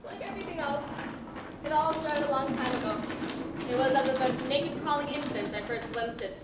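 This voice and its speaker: A woman's voice: high-pitched, brisk, lightly acted. A woman playing an earnest, melodramatic, lovelorn repairman in a student stage comedy. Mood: wistful. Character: lovelorn earnest repairman in love with washing machine. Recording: very echoey hall, distant mic, noisy.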